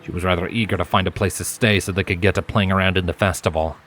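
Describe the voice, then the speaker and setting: A man's voice: sits low, fairly even intonation, fast, a bit bright, slight background noise. A man reading scripted story narration as an audiobook narrator. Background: background music.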